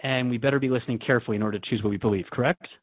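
Man acting as male radio host, earnest, questioning, hesitant, conversational, medium pitch, radio drama, muffled low-fidelity sound. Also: steady tone, very fast, close to an everyday voice. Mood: anxious, pressing. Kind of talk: radio drama dialogue in interview form. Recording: dull, lo-fi sound.

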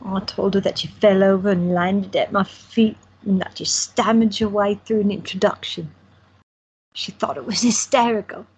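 A woman's voice: mid-range voice, fairly even intonation, moderate pace, darkish tone, husky, low-quality recording. A woman playing a teasing, affectionate young woman in an audio drama. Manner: playful, teasing.

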